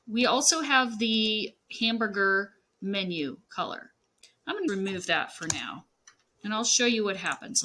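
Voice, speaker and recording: A woman narrating a screen-share software tutorial, explaining things step by step. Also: medium pitch.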